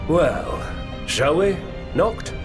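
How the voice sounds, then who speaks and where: An actor playing a composed, refined male adviser in a video game, speaking in a measured, formal manner. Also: a little high, animated, music in the background. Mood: calm.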